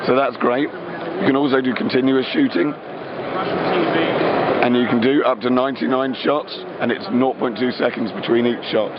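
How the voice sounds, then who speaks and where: A man talks through a gadget demo in a croaky, worn voice, stopping often for ums and uhs. His pitch sits in an ordinary middle range. The recording is dull and lo-fi, with loud noise behind him. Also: fairly even intonation. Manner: fillers, quick geeky explaining. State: croaky, tired voice. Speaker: company product presenter. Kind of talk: casual product demo.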